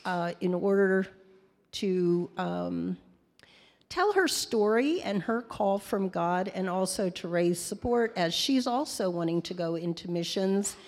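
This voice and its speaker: Lower-voiced mature woman in an echoing hall. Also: expressive, slow, darkish tone. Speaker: church member introducing a guest speaker. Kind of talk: informal spoken introduction and prayer. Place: church hall, speaking to congregation. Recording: echoey hall with long reverb.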